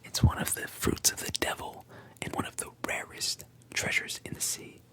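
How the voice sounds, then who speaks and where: A whispering narrator voicing a worked-up pirate captain in a breathy hush. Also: normal speed, lightly acted, rough recording. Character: brash pirate captain, adult man.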